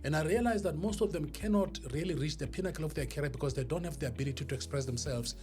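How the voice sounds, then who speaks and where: A South African man speaking as a podcast guest, a professional speaker telling his story in a deliberate, emphatic, oratorical way. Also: on the high side, a little mellow. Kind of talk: motivational storytelling in an interview. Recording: music behind the voice. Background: music.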